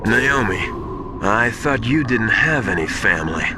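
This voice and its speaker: An actor playing a wise, stern mentor in a video game, measured and admonishing. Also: male voice, fairly low pitch, animated. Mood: probing. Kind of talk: dramatic video game voice acting. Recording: loud music behind.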